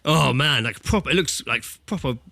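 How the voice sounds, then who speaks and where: A man's voice: medium pitch, expressive, brisk, creaky, pushed and bright, no room sound. A man in his thirties chatting casually as a podcast co-host, sweary, blokey and jokey. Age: 30s. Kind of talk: casual comedic banter. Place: remote internet call.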